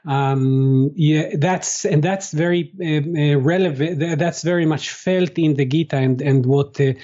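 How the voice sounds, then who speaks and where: A man whose first language is Hebrew, answering interview questions as a translator, pausing often with fillers and restarts. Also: a little high, dark tone, highs cut off. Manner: halting, many fillers and restarts. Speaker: guest, translator.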